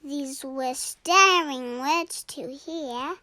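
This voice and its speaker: A young girl reading a rhyming children's storybook aloud in a deliberate, expressive way. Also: high-pitched, no room sound. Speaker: story reader.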